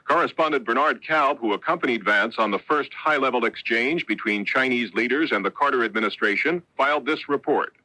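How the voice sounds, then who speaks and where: A man's voice: mid-range voice, sharp tone, no room sound, poor audio. An American man anchoring a radio network newscast, reading a script in a formal broadcast manner. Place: radio studio.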